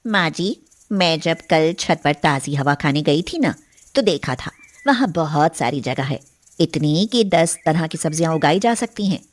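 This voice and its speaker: A woman playing a caring, sensible young eldest daughter-in-law in an animated moral story, polite and respectful. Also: low voice, a little slow. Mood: respectful, hopeful.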